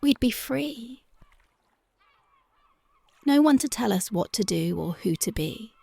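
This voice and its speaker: A woman voicing a tough but devoted young punk girlfriend in an ASMR roleplay, talking softly and intimately close to the mic, often dropping to a whisper. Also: a little high, expressive, takes it slowly, vocal fry, no echo, full character voice. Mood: tender, dreamy. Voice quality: soft, intimate, partly whispered. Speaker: voice actress.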